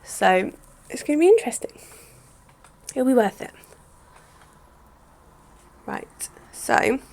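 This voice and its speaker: A woman, chatting casually through a hair-care vlog, a little croaky, rambling with lots of "sort of". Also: sits high, a little mellow, slight background noise. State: slightly croaky voice. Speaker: hair vlogger.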